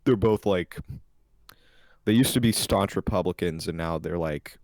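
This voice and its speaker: A young man with a very low voice, talking loosely in a casual podcast chat. He rambles crudely and restarts his sentences often. The sound is dry and close to the mic. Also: a little slow, darkish tone, dry recording. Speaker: podcast co-host.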